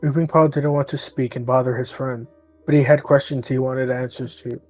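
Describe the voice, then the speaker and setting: A man's voice: mid-range voice, fairly even intonation, moderate pace, a little mellow, no echo. Man narrating a story, dry recording. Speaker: narrator and roleplayer. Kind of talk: scripted story narration, third person. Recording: dry, music behind.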